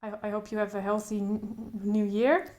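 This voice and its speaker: A young woman who speaks openly with a stutter, repeating first sounds and pausing in blocks, talking thoughtfully to camera in short phrases. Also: mid-range voice, little pitch movement, a little mellow. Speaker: vlogger. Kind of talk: casual talk to camera.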